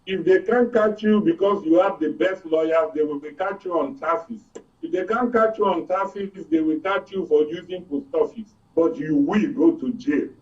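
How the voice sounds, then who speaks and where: High-pitched Nigerian man, emphatic, distant mic. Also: normal speed, a little mellow. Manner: emphatic, explanatory, repeats for effect. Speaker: guest commentator. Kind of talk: opinionated political discussion. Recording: dull, distant studio audio.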